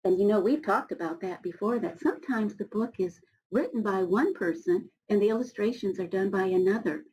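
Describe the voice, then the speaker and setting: A woman, middle-aged, reading a storybook aloud to children with expressive, encouraging asides spoken directly to her young listeners. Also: mid-range voice, a little fast, hardly any pauses, lo-fi sound. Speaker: storybook reader for schoolchildren.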